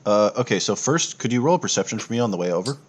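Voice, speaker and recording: Low-voiced man running a tabletop game. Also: animated, very fast, slight background noise. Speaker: game master. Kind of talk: casual improvised tabletop game narration.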